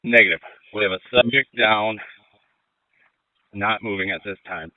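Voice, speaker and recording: A man's voice: on the low side, moderate pace, clear, resonant tone, no room sound. A male police officer giving terse, urgent field reports over a handheld radio. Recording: tinny police radio, cutting out.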